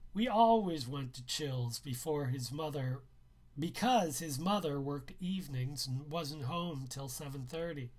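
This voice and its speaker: A man reading a first-person novel aloud as its author, with deliberate storytelling phrasing. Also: fairly high pitch.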